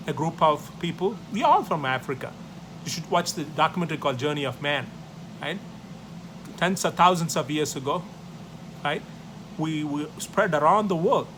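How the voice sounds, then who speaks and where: A man's voice: on the high side, moderate pace, pauses often, noisy background. A man from South India whose first language is Tamil, speaking English with an Indian accent as he gives a history class, listing numbered points and often tagging "right?" onto his sentences. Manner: loose, repetitive phrasing, numbered points, many tag questions. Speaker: teacher.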